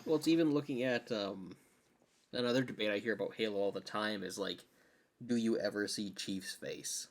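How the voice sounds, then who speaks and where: Lowish-voiced man in casual conversation. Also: normal speed. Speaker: podcast co-host. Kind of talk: casual chat about games and films.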